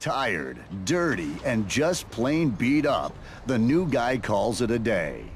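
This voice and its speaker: A man's voice: mid-range voice, very animated, slow, background noise. A man playing the dramatic narrator of a reality show in a video game, reading scripted narration. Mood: dramatic, steady.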